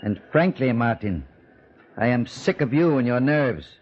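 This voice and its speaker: An actor playing a cold, contemptuous saboteur with a smug, measured delivery in a 1950s-style radio drama. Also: male voice, medium pitch, lively intonation, close to an everyday voice. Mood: smug, scornful. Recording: muffled old radio recording, background noise.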